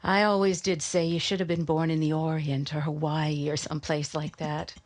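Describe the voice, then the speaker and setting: A woman playing a fond, gently teasing middle-aged mother in a radio drama. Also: deep voice, little pitch movement, moderate pace. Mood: fond, amused.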